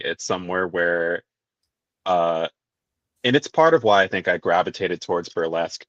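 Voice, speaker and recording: A man with a very low voice speaks as a guest, soft and a little hesitant, filling pauses with ums and shy laughs. The recording is dry and slightly dull. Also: dry recording. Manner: soft-spoken, laughs shyly, hesitant fillers. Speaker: guest, burlesque performer. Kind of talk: casual interview chat.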